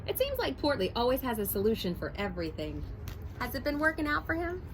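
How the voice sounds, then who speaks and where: A woman teacher reading a picture book aloud to her first graders, in a slow, expressive storytelling voice. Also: sits high, noisy background.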